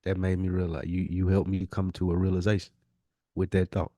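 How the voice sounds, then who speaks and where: A man's voice: sits low, flat delivery, brisk, mellow, dry recording. An American man co-hosting a podcast, talking casually with heavy slang, swearing and emphatic phrasing. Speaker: podcast co-host. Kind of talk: casual conversation.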